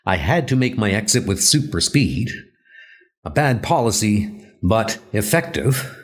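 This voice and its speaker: A man as an earnest, animated heroic reporter. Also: on the low side, a little slow. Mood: wary, resolute. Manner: earnest, questioning.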